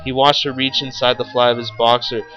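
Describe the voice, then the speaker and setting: A man's voice: medium pitch, flat delivery, fairly quick, highs cut off, faint music behind. A man reading a story aloud in a theatrical, mock-serious way. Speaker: story reader, show host.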